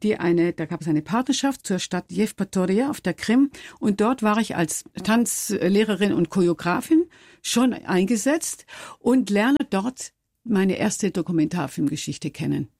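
A woman's voice: mid-range voice, expressive, a little slow, a little mellow, dry recording, studio quality. An older woman, a documentary filmmaker and former dance teacher, speaking as an interview guest in an earnest, thoughtful way with frequent restarts. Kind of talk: conversational interview.

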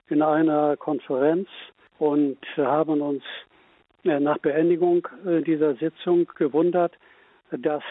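A man calling in to radio, recounting a personal memory in halting, hesitant phrases. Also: on the high side, slightly rough, no room sound. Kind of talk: spoken personal recollection. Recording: narrow dry phone line.

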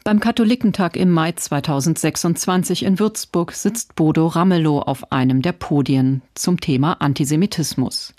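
Female news voice-over, measured and even, dry studio sound. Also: low-pitched, no echo. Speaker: news reporter. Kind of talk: scripted news narration. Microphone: studio voice-over mic.

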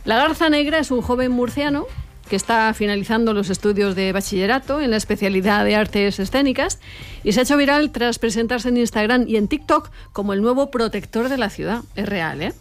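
A woman's voice: fairly high pitch, a little fast. A woman co-hosting a show, presenting a story with a light, wry delivery. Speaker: radio show co-host.

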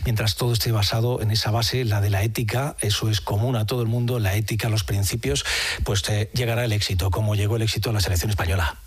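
A man's voice: on the low side, steady tone, quick pace, breathy edge. A man with an accent from Spain hosting a live magazine show from a radio studio. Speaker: radio host.

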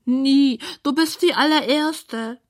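Squealing, high-pitched excitable pig. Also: female voice, takes it slowly. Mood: lonely, wistful. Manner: theatrical, self-important. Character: excitable, vain, lonely pink pig who loves rhyming. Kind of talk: children's bedtime story character voice.

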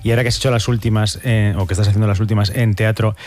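A man's voice: lowish voice, flat delivery, rapid-fire, a little mellow. A man co-hosting an interview in a chatty way, agreeing often and adding his own asides. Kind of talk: conversational interview.

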